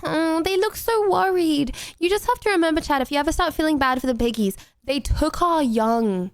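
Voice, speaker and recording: A young British woman streaming a game, chatting casually with her chat and breaking into playful, excited exclamations. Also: high voice, moderate pace, dry recording, clean studio sound. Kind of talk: casual gameplay commentary to chat.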